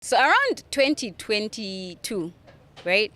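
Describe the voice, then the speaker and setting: A woman's voice: medium pitch, sing-song, takes it slowly, fairly bright tone. Teasing young Ugandan woman host. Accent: Ugandan, Runyankore-tinged. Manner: playful, teasing, laughs often. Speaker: podcast host. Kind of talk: casual podcast interview.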